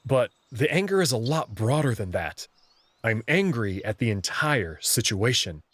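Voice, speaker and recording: A man reading a visual novel's first-person narration aloud, with an earnest, expressive storytelling delivery. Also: medium pitch, a little slow, slightly rough, no echo.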